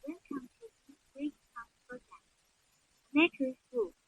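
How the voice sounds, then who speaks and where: A woman's voice: sits high, slow, no room sound, phone-quality sound. A woman's synthetic voice giving short device prompts as an intercom assistant.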